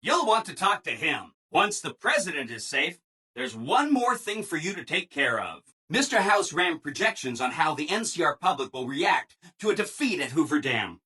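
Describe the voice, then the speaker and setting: A man's voice: on the high side, sing-song, normal speed, sharp tone, husky, exaggerated voice. A man voicing a relentlessly cheerful, eager-to-please robot assistant in a video game, with a perky, over-polite manner. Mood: cheerfully explanatory. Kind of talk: video game character dialogue.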